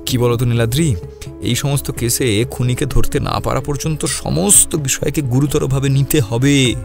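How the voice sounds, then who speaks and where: A man plays a sharp detective in a crime audio drama. He speaks in a mid-pitched voice with deliberate, instructive authority, setting out points and questions. Music plays clearly behind him. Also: steady tone, close to an everyday voice. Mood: firm, instructing. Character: sharp, commanding male detective leading a murder case. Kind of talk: Bengali audio detective story acting.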